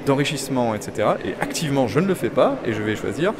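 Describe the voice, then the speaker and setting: A man's voice: medium pitch, very animated, quick pace. A man on the street explaining his view at length in a reflective way. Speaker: passer-by. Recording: urban traffic noise.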